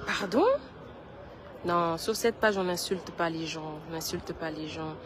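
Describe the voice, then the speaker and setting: Slow-talking sick woman livestreaming. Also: fairly low pitch, background noise. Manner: chatty, talks straight to viewers. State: sick with a cold and headache. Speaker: page host addressing followers. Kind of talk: casual phone livestream chat.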